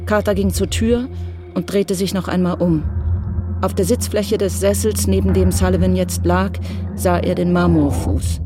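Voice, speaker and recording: A woman's voice: lowish voice, steady tone, moderate pace, music in the background. A woman narrating a radio crime drama from a script.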